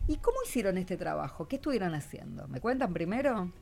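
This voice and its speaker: A woman's voice: lowish voice, sing-song, fast, vocal fry, a little mellow, soft background music. A woman with an Argentine accent hosting a radio show, chatting casually and prompting with short questions. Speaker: radio host and interviewer. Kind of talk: casual interview with schoolchildren.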